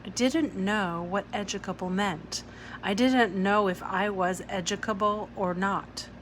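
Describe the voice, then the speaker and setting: A woman's voice: mid-range voice, steady tone, measured pace, poor audio. A woman reading a children's novel aloud as scripted narration. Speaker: audiobook-style reader. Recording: clear background noise.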